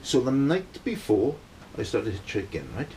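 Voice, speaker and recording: A Welsh man in middle age tells a story in a loose, meandering way and keeps pausing to ask "right?" His voice sits at an ordinary middle pitch. A faint hiss runs under the recording. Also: sing-song, a little mellow. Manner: rambling, keeps checking in with "right?". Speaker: band member telling a story. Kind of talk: casual storytelling.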